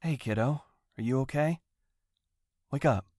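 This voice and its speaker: A man's voice: mid-range voice, measured pace, noisy background, close to an everyday voice. A man playing a tender, reassuring middle-aged father in an audio drama. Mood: concerned. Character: gentle, concerned middle-aged father of a teenager.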